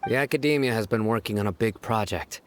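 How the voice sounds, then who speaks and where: Actor as low-voiced, stern male enforcer. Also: quick pace, a little hiss or hum, natural voice. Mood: guarded, serious. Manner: serious, terse, controlled. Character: stern, guarded young male officer of justice, blunt and vigilant. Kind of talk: scripted video game cutscene acting. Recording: faint music behind the voice.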